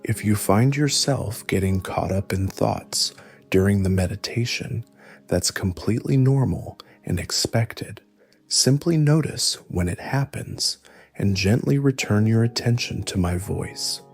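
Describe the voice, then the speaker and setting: A man narrating a scripted guided meditation, giving gentle instructions in a soothing, measured way. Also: lowish voice, lively intonation, vocal fry, slightly rough, no echo, faint music behind.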